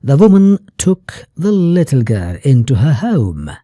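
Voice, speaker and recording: A storyteller reading a children's fairy tale aloud for English learners, in deliberate chunks with pauses and an exaggerated rise and fall. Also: medium pitch, sing-song, dark tone, no echo, clean studio sound.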